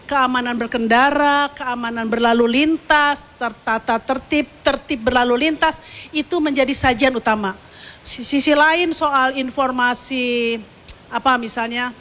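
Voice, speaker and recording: A woman giving a semi-formal, unscripted ceremonial speech as a media executive, halting as she searches for words with pauses and repeats. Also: sits high, a bit bright.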